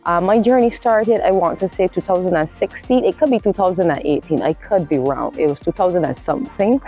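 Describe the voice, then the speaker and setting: A woman with a Caribbean accent speaks in a slightly low voice, with faint music behind her. She is an interview guest telling her story in a conversational way, often rewording herself mid-sentence. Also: a little fast, mellow. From Barbados.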